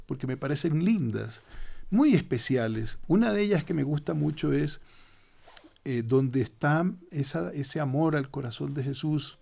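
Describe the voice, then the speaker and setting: A man's voice: mid-range voice, vocal fry. An older man from Ecuador, a Jesuit priest, explaining devotions in a radio studio interview with asides to the listeners. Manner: warm preaching tone, rhetorical asides to listeners. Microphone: studio microphone with headphones.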